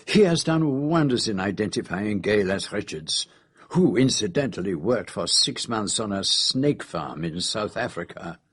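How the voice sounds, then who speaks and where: An actor playing a shrewd, courteous foreign detective in a radio drama, with a Belgian French accent and a precise, measured, self-assured way of explaining. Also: male voice, fairly low pitch, animated, breathy edge, no room sound, studio quality. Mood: reflective, kindly.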